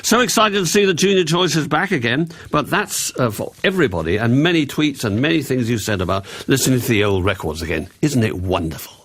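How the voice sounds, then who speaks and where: A man's voice: medium pitch, animated, fairly quick, hardly any pauses, a bit bright. An English man in his sixties hosting a radio request show, chatting and reading out listeners' messages. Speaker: radio host.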